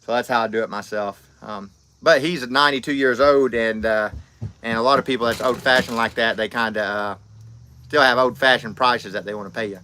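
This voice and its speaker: A man's voice: medium pitch, steady tone, fairly quick, pushed and bright. A man, chatting casually on a livestream and reading out comments, rambling and friendly with lots of fillers and restarts. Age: 30s. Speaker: livestream host.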